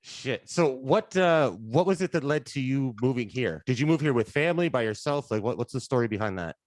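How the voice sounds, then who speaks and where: A man's voice: mid-range voice, expressive, brisk, no echo. A Canadian man hosting a podcast, introducing the show and asking questions in a casual, friendly way. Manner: casual, friendly, loose with fillers. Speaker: podcast host.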